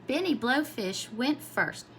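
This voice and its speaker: A woman's voice: sits high, expressive, slow, a bit bright, a little hiss or hum. A woman reading a children's storybook aloud expressively, in the style of a preschool teacher.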